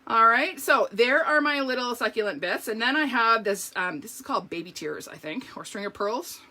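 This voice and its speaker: A woman with a slightly higher, bright voice, a little hoarse, walks her viewers through a card-making project. She talks in an easy, chatty way, explaining each step as she goes. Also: expressive, normal speed. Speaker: craft demonstrator. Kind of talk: live card-making demonstration.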